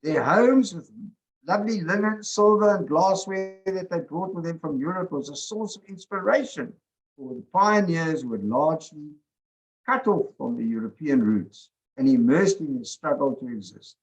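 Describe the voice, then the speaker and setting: A man reading a passage aloud in an expressive manner. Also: fairly high pitch, normal speed, rough recording. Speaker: speaker reading his article summary.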